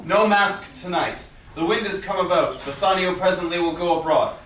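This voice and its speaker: A man playing an impatient gentleman merchant in a staged Shakespeare reading, loud, urgent and theatrical. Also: high-pitched, moderate pace. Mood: urgent, scolding. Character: brisk, impatient gentleman merchant scolding his friends. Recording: very echoey room, distant, faint noise.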